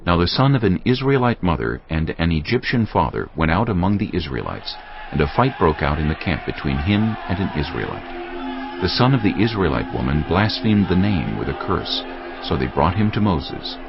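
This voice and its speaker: Very deep, gravelly male scripture narrator. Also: big pitch swings, normal speed. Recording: dull lo-fi audio, music underneath.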